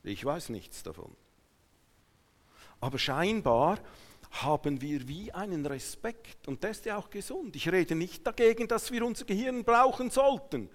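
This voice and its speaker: A man preaches to a congregation in a high voice with a Swiss German accent. His delivery is emphatic, moving between quiet asides and raised declarations. Long reverberation from the hall surrounds his words. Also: expressive, unhurried. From Switzerland. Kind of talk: sermon to a congregation.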